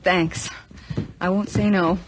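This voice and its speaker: Slow-spoken, jittery young woman in radio horror. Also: fairly low pitch, fairly even intonation. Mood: weary, soft. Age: young adult. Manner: hesitant, breathy murmurs and urgent pleas. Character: frightened young woman student, jittery, guarded yet tender. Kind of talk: radio drama acting. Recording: noisy, clicky old radio drama recording.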